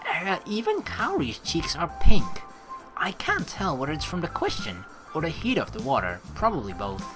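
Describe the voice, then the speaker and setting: A woman's voice: deep voice, wildly swooping pitch, moderate pace, music in the background. A young woman doing casual, sweary let's play commentary and reading a visual novel's narration aloud. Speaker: let's player.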